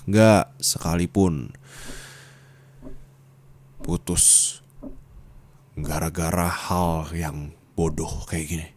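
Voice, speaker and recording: A young man voicing an angry, heartbroken young man who scolds his partner, swinging from shouting to low bitter muttering, in an ASMR roleplay. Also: steady tone, drawn-out words, long pauses, full character voice. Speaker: roleplay voice actor.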